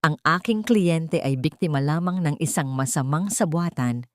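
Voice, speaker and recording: A woman's voice: low voice, normal speed, dry recording, lightly acted. A woman performs an aggressive male lawyer in an audio drama. He orates pompously to the court and accuses with force, in a dry, close recording. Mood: accusing. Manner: oratorical, accusing. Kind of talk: Tagalog audio drama acting.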